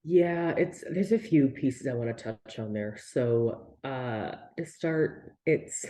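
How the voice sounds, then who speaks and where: A woman's voice: rumbling low, lively intonation, dark tone. A woman in her twenties, a guest nurse and nutrition coach, talking candidly and casually over a remote call, swearing now and then. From Canada. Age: 20s. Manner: candid and breezy, swears casually, quick explanations. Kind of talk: casual podcast interview.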